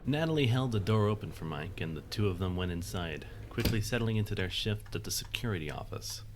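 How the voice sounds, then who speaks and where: Low-voiced man narrating a story. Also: expressive, a little fast, background noise. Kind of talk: scripted dramatic storytelling narration.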